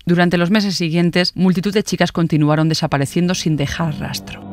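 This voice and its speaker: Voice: mid-range voice, fairly even intonation, brisk. A narrator reading a scripted true-crime story.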